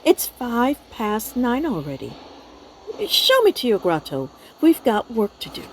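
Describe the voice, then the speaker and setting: A woman playing a brisk, dry, businesslike older doctor in a radio play. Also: a little high, big pitch swings, slight background noise. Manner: curt, businesslike.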